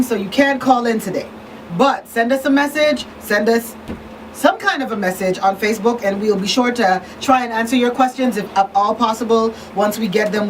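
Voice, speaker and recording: Female host, live talk show, fairly high voice, slightly off mic, faint noise. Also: far from the mic, low-quality recording. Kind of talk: live talk show presenting, partly scripted.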